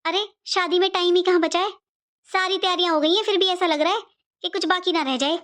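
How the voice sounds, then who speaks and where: A woman as a high-voiced, fretful cartoon mother. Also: fairly even intonation, brisk, a bit bright. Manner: rambling, repetitive, motherly. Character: middle-aged mother-in-law, fretful but soothing family matriarch. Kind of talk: Hindi cartoon family drama acting.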